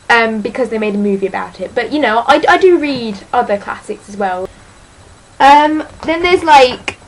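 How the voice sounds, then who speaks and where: A teenage girl with a slightly high voice rambles her way through a casual book vlog. She hesitates often, leaning on ums and sort-ofs, and keeps poking fun at herself. A faint hiss sits under the recording. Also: rough recording. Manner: chatty and self-deprecating. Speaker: book vlogger.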